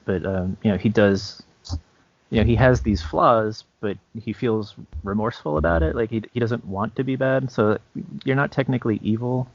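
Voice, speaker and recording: A man's voice: lowish voice, normal speed, gravelly, no echo, highs cut off. A man co-hosting a podcast in easygoing, casual chat. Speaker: podcast co-host.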